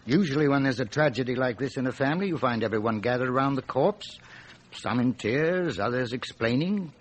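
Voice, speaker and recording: A man's voice: medium pitch, expressive, brisk, close to an everyday voice, rough recording. A man playing a talkative, obliging local police inspector in a radio drama, explaining things in detail with slight hesitation. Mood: obliging, explanatory.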